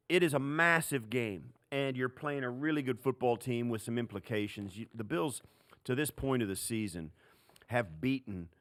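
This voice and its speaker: A man's voice: on the low side, dry recording, clean studio sound. A man with an American accent co-hosting a football podcast as a former player, casual and emphatic with punchy, repeated phrases.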